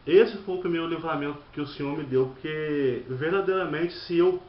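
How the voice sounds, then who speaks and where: A man's voice: a little high, normal speed. A man in his thirties from Brazil, telling his personal testimony as a church member, often repeating words and restarting his phrases. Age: 30s. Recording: dull lo-fi audio in an echoey room.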